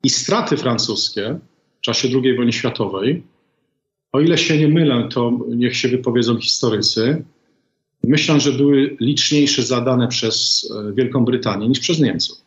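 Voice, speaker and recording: A middle-aged man as an interview guest, giving a measured political analysis in a deliberate way with many hesitant pauses, heard over a video call. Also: medium pitch. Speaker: guest, former ambassador. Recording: echoey, dull video call audio.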